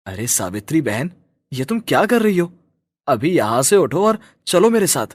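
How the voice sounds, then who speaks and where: A man's voice: on the high side, sing-song, moderate pace, no echo. A man playing a kind, caring husband and family friend in a story, earnest and insistent. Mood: shocked concern.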